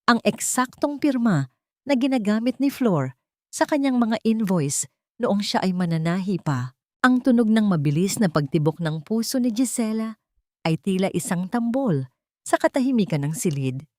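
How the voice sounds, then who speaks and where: A woman's voice: medium pitch, big pitch swings, moderate pace, a little mellow, dry recording, clean studio sound. A woman with a Filipino accent narrating a scripted dramatic story as an audiobook.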